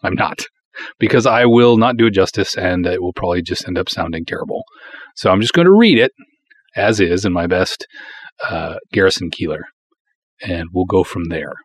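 A man's voice: low voice, lively intonation, moderate pace, dry recording. A man chatting casually as the host of a podcast. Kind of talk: casual chat.

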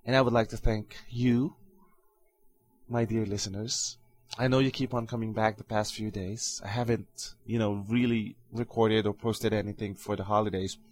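A man hosting his own podcast, talking calmly and earnestly in a reflective solo monologue with soft fillers. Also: lowish voice. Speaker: podcast host.

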